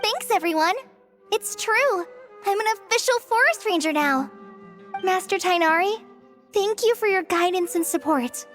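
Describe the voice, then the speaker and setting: A woman voicing a gentle, earnest young forest ranger girl in an anime-style game, girlish and polite. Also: extremely high pitch, lively intonation, measured pace, faint music behind, full character voice. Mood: grateful.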